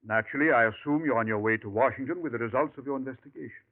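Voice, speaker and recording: An actor playing a suave alien posing as a ranch owner, with an unplaceable foreign accent and mocking, smooth politeness, in 1940s radio acting. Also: male voice, medium pitch, racing, natural voice. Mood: silkily menacing. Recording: tinny old radio broadcast.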